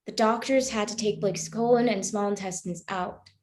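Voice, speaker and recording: Woman acting an earnest, probing woman, medium pitch. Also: steady tone, moderate pace, lightly acted. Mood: accusing. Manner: earnest, persistent questioning. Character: probing, morally troubled woman questioning a cop. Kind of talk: live staged play reading.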